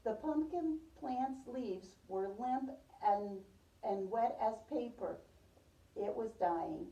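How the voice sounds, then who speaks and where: A woman's voice: fairly high pitch, slow pace, mellow. A woman teacher reading a picture book aloud to a preschool class, in the manner of storytime with questions. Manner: repeats and affirms pupils' answers. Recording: roomy, distant microphone.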